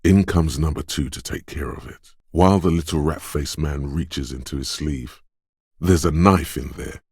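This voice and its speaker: Detective's inner instinct, video game narration, rumbling low male voice, measured, knowing, quick-witted, dramatic, dry studio sound. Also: darkish tone, husky, no echo, heavily acted. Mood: alert, suspicious. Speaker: voice actor. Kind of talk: second-person game narration.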